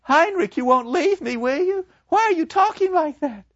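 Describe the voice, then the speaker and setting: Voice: very high-pitched, lively intonation, normal speed, no room sound, pitched above the performer's own voice. A storyteller voicing an unhinged young woman in a jail cell, pleading and stammering, in a dull, dry lo-fi recording.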